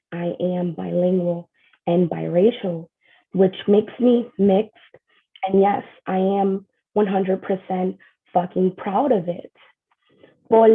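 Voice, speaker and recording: A woman's voice: medium pitch, breathy edge. A nervous young woman performing a spoken word poem at an online open mic, earnest and mixing in Spanish lines. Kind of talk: spoken word poetry reading. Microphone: video call. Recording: tinny lo-fi video call audio.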